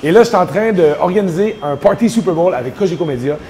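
A man in his thirties with a Québécois French accent, chatting casually as he walks through a radio station's offices and studio on a lapel mic, smooth and self-deprecating. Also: sits high, lively intonation, fast talker, dark tone, a little hiss or hum. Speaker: event host and radio personality. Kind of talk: casual vlog narration.

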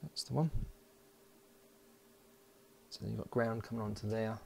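A man with a fairly low, gravelly voice talks through hobby work at a bench in a casual, halting way. He sounds like he is thinking aloud, informally, with a faint noise in the background. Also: dark tone. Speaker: electronics hobbyist presenting a build. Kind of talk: casual hands-on tutorial commentary. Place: indoors at a workbench.

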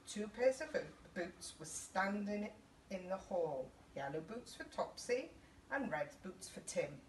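A woman's voice: mid-range voice, animated, a little slow. Middle-aged British female storyteller for small children, child-directed questions, roomy distant sound with faint noise. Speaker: story time host reading to children. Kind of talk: children's picture book read-aloud. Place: small indoor youth group playroom. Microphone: camera a couple of metres away. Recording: echoey room, distant mic, faint background noise.

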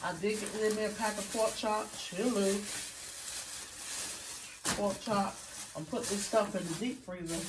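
A woman's voice: mid-range voice, steady tone, unhurried, pauses often. A middle-aged woman chatting casually to her viewers in a home kitchen, with lots of fillers and "okay, guys" asides. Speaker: vlogger. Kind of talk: casual grocery haul vlog. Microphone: phone camera on counter, a few feet off. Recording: distant phone mic with background noise.

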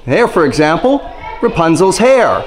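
A man's voice: a little high, very animated, unhurried, a faint crowd, rough recording. A middle-aged man presenting a travel vlog as host, narrating and interviewing.

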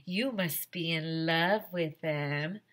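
A woman's voice: low voice, takes it slowly, a bit bright. A woman voicing a teasing young schoolboy in a children's storybook.

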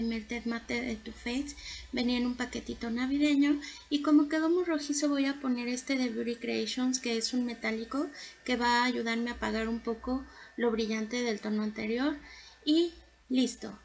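High-voiced woman, chatty makeup tutorial. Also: vocal fry. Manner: chatty, instructive. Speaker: beauty vlogger. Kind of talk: makeup tutorial narration, casual. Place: bedroom at home.

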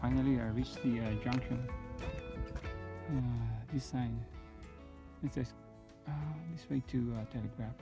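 A man in his thirties filming himself on a mountain hike, talking in a casual, unhurried way with many fillers and restarts, recorded on a phone held at arm's length outdoors. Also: medium pitch, steady tone, music in the background. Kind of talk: casual hiking vlog narration.

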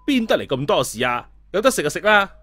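Man putting on a snappish, high-pitched canteen woman. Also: sing-song, fast talker, pushed and bright, faint music behind, full character voice. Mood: scolding. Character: brusque, scolding woman serving food in a canteen.

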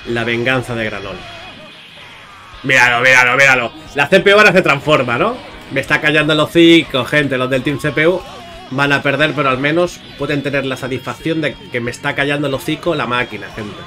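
A man's voice: medium pitch, a little fast, bright, forceful tone. Chatty man commentating gameplay over music. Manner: chatty, informal, addresses viewers often, playful exclamations. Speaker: gaming YouTuber. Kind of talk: casual video game commentary to viewers. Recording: music under the voice.

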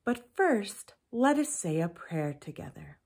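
A young woman reading aloud and reflecting for children in a deliberate, carefully enunciated way. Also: mid-range voice, sing-song, a little mellow, husky. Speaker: children's story reader and presenter. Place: indoors in a home room with windows.

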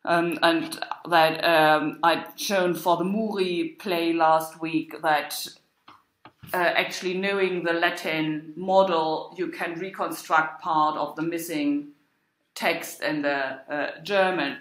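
A woman giving an academic lecture as a university lecturer, with a halting, hesitant delivery. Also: sits low, steady tone. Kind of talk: academic lecture with examples chanted and recited. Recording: roomy, echoing sound.

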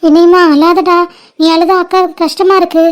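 A woman's voice: very high voice, little pitch movement, normal speed. A woman playing a caring elder sister who speaks with a Tamil accent, anxious and comforting. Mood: worried. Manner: worried, comforting and scolding. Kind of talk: short-film drama acting.

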